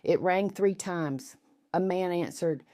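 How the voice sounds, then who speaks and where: A woman's voice: on the low side, takes it slowly, dark tone, dry recording, clean studio sound. A woman reading a scripted first-person story as its narrator.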